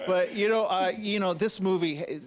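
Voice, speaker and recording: A man's voice: high-pitched, moderate pace, over a noisy crowd. A man interviewing actors in a casual, chatty way, upbeat and full of "you know". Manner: chatty, upbeat, many you-knows and restarts.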